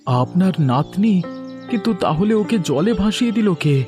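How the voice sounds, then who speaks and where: A man as a meek, higher-voiced village fisherman. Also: expressive, a little slow, darkish tone, soft background music. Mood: bewildered. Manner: meek, pleading. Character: humble, deferential poor village fisherman and adoptive father. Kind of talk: dramatic cartoon story acting.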